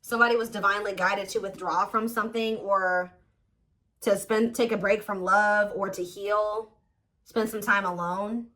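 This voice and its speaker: A woman's voice: medium pitch, fairly even intonation, normal speed, pushed and bright, low-quality recording. A woman giving a casual tarot reading, thinking aloud with lots of fillers and restarts. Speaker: tarot reader.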